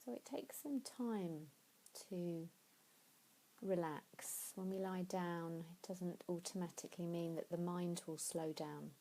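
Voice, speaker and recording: A woman leading a guided sleep meditation in a soothing, unhurried way, pausing between phrases. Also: on the low side, creaky, mellow, low-quality recording. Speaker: yoga nidra meditation teacher.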